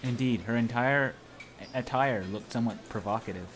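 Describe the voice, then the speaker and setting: A man's voice: medium pitch, normal speed. A man reading visual novel narration aloud as an amateur during a playthrough.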